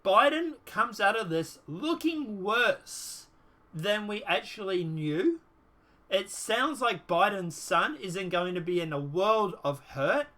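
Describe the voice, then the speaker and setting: Bright, high-voiced man vlogging politics. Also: lively intonation, slow pace. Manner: dismissive, trails off with "blah blah". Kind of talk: casual commentary reading from a document.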